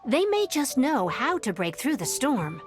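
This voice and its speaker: A woman playing a gentle young exile in a video game, speaking in an earnest, measured storytelling way. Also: high-pitched, big pitch swings. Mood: earnest, advising. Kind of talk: video game dialogue acting. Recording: game music behind the voice. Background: background music.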